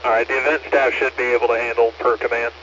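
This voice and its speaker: A man's voice: medium pitch, level tone, fast talker. An American man working as radio net control, matter-of-fact and courteous, reading traffic back over a two-way radio. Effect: two-way amateur radio transmission. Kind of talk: procedural two-way radio traffic with read-backs. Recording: muffled noisy two-way radio audio.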